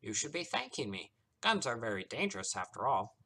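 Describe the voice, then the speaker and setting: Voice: medium pitch, sing-song, moderate pace, sharp tone, pitched above the performer's own voice, full character voice. A man voicing a smug, mischievous male trickster from a story, theatrical and teasing with mocking sweetness. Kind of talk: character voice in narrated story.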